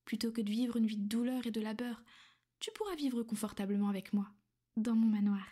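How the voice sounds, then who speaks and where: A woman voicing a soothing vampire noblewoman who speaks gently and often in a hushed whisper. Her voice sits high and soft. She plays it as an intimate ASMR roleplay, caring on the surface but possessive underneath. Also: steady tone, fairly quick. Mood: calm, matter-of-fact.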